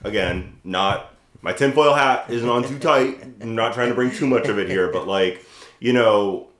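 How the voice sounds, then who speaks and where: A man co-hosting a podcast, talking casually and analytically, measured and qualifying his points as he thinks aloud. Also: mid-range voice, a bit bright. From United States. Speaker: podcast co-host. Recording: roomy, echoey sound.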